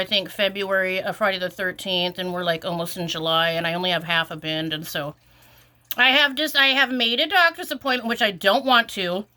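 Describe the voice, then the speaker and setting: Bright-voiced woman ranting with lots of fillers. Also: medium pitch. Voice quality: sniffly. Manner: rambling, exasperated, lots of "like" fillers. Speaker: vlogger. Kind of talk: ranting casual vlog.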